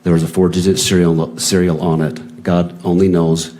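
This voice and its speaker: A man's voice: deep voice, flat delivery, normal speed, dark tone. A man reading written messages aloud in a plain, unacted way, as in testimony at a hearing.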